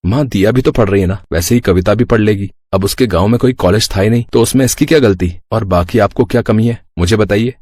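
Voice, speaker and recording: Supportive young husband, low-pitched played by a man. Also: fast talker, few pauses, a little mellow, close to an everyday voice. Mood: firm. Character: supportive young husband, protective, reasonable, firm.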